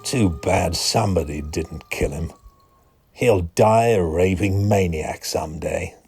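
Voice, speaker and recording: An actor playing a grave asylum doctor who narrates a grim tale in a solemn, ominous manner. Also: male voice, low voice, takes it slowly, gravelly, a little mellow, soft background music, heavily acted. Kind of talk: scripted dramatic narration.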